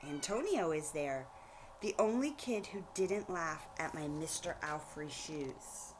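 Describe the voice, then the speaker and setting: A woman reading a storybook aloud like a teacher, pausing to explain things directly to young listeners. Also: low voice, expressive, noisy background. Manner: explanatory, addresses children directly.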